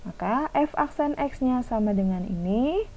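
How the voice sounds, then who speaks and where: A woman's voice: a little high, animated, measured pace, a little mellow, slight background noise. A woman teacher talking through a math lesson step by step, asking questions and answering them herself. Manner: patient, asks and answers her own questions.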